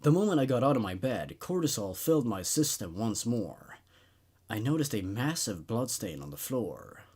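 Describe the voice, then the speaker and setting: A man's voice: mid-range voice, very animated, moderate pace, darkish tone, husky. A man hosting a horror story show, reading stories aloud and giving his thoughts on them. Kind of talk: dramatic story narration and laid-back commentary.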